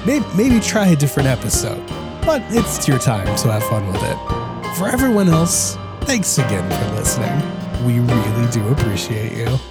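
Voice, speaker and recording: Man reading a podcast intro over loud music. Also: mid-range voice, big pitch swings, normal speed. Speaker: podcast host. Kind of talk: scripted episode intro.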